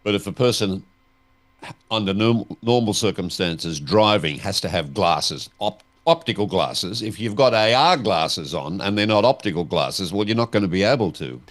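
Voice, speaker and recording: A man interviewing as a host, putting deliberate, inquisitive questions in a conversational style. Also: on the low side, expressive.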